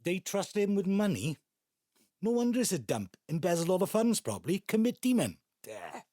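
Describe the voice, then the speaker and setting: A middle-aged son from South Wales in an audio drama, carrying a grudge. His speech is sardonic and grumbling, with a pitch that moves about freely. The sound is close and dry. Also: very animated, normal speed, warm tone, no echo. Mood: irritated, bitter. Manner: sarcastic, grumbling. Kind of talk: naturalistic audio drama acting.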